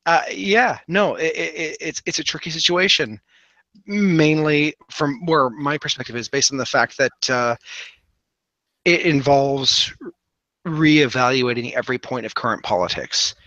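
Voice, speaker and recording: A man with a bright, crisp-edged voice in a dry, close-sounding recording. He talks earnestly in conversation, reflective and halting, often repeating words to stress a point. His pitch sits a little on the high side. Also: no echo, lo-fi sound. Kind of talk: earnest conversational discussion of politics and ideas.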